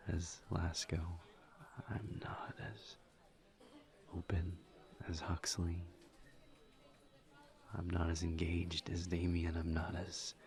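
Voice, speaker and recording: Man acting a very low, gravelly, gentle incubus. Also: exaggerated voice. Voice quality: breathy, soft, near-whispered, gravelly low voice. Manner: slow, halting, tender and sincere. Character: gentle, earnest, reserved male incubus demon friend. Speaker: audio roleplay voice actor. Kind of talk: intimate audio roleplay, one side of a conversation.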